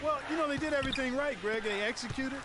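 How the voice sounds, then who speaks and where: A man's voice: very high-pitched, quick pace, very noisy. A man voicing a folksy color commentator in a basketball video game. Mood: analyzing. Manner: folksy, chatty analysis. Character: folksy male color analyst, upbeat. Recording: game audio with music behind.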